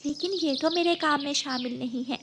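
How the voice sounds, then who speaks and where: Casting: hardworking mother bird for a cartoon a woman performing, high voice, submissive, gentle, plaintive delivery. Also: brisk, noisy background. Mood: meek. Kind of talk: Hindi moral cartoon story dialogue.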